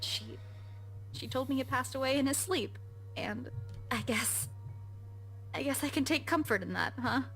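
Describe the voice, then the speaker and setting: High, halting, grieving young woman. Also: expressive, a bit bright, full character voice. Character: grieving, vulnerable young woman putting on composure. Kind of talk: visual novel voice acting. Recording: music behind the voice.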